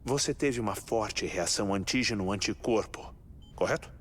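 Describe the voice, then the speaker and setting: A man with a Brazilian accent playing a calm, methodical scientist who explains findings in a dubbed game cutscene. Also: on the low side, slight background noise, lightly acted. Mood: calm, explanatory. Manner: expository, measured. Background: music.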